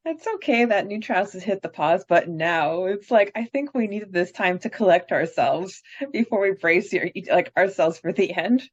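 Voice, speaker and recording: A woman's voice: mid-range voice, normal speed, slightly rough. Woman chatting on a fan podcast, lots of likes, kinda muffled mic. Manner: chatty, enthusiastic, filler-heavy. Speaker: podcast co-host. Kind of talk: casual fan podcast chat.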